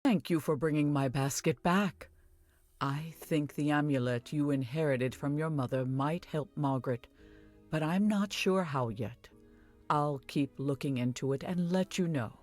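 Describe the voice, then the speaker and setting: An actress playing an older village wise woman in a video game, caring and earnest, giving measured instructions. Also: deep voice, a little mellow. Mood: earnest, urgent. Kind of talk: scripted video game dialogue. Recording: music behind the voice.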